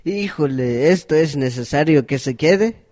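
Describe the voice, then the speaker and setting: A man's voice: a little high, lively intonation, a little slow, a little mellow. A man with a Guatemalan accent acting a humble, worried, courteous village father in an amateur radio drama. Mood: worried, polite. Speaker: actor in community radio drama.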